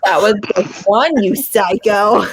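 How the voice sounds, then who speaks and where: A woman's voice: medium pitch, takes it slowly, breathy edge, low-quality recording. A woman hosting a casual live group chat about books, with a blunt, playfully teasing manner. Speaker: host of a live readalong.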